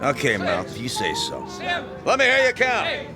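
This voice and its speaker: A bossy American coach drilling ballplayers in a radio play. His voice is high for a man, barking orders over clear music. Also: huge pitch swings, measured pace, exaggerated voice. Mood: compliant. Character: loud, bossy baseball coach drilling players. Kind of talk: radio drama acting.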